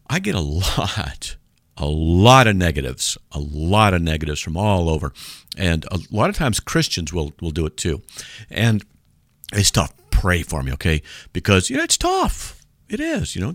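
A man with a deep voice hosting a call-in radio show, joking and sarcastic as he reads out mail. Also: dry recording.

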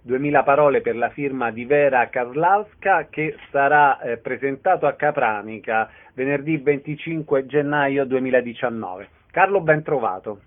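A man's voice: mid-range voice, normal speed, few pauses. A man hosting a radio show, announcing events and interviewing guests over a dull, narrow radio sound. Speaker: radio host.

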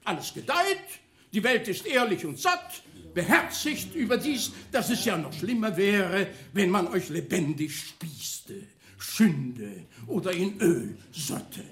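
An actor playing a pompous, outraged and mocking judge in a declamatory radio play style. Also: male voice, high-pitched, big pitch swings, slow pace, fairly bright tone, full character voice. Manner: declamatory, mocking, with scornful laughs and outbursts. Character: pompous, gloating, outraged male judge of a small-town court. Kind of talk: theatrical German radio play acting.

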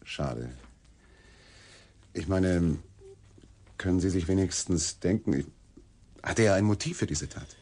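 An actor playing a dogged newspaper reporter in a radio drama, asking terse, skeptical questions in with a husky voice. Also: male voice, deep voice, takes it slowly, pauses often, darkish tone. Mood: probing. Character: dogged male newspaper reporter chasing a scandal.

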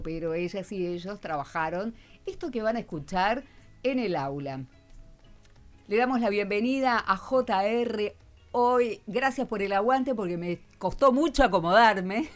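A woman radio host with a Buenos Aires accent and a very lively, swooping intonation. She chats informally and encourages her guests along, with faint music around her voice. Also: mid-range voice. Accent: Buenos Aires Argentine. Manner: chatty, encouraging, prompting guests. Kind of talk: informal radio hosting, chatting with schoolchildren.

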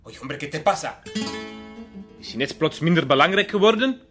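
A suspicious, commanding radio-drama plotter. His voice is fairly high and forceful, impatient and insistent. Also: animated, fairly quick, faint music behind. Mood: angry, pushing. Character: tense, domineering male Spanish-speaking conspirator, impatient and suspicious. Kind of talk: radio drama acting, switching between Spanish and Dutch.

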